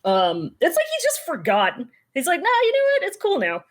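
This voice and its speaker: A woman's voice: high-pitched, huge pitch swings, moderate pace. A woman podcast co-host in casual comedic banter, wry, joking and slangy.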